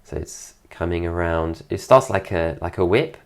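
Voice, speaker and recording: A man recovering from an illness, his voice deeper than usual and his nose congested, giving an admiring, relaxed commentary over a dance video. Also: moderate pace, clear, resonant tone. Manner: admiring, keeps praising details. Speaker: dance teacher reviewing a dance video.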